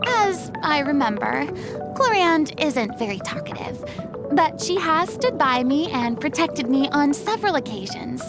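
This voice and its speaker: An actress playing a proud yet vulnerable young female celebrity in a video game, with dramatic, refined, expressive delivery. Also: sits high. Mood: thoughtful, fond. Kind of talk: scripted game character voice lines.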